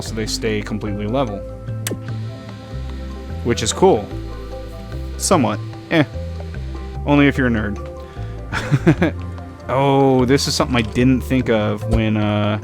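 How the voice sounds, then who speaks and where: A man with a slightly raspy, fairly low voice talks through his building project in a loose, rambling way, often teasing himself about his mistakes. Soft music sits faintly behind him. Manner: rambling, self-mocking, chuckles often. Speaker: gameplay commentator. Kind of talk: casual building commentary.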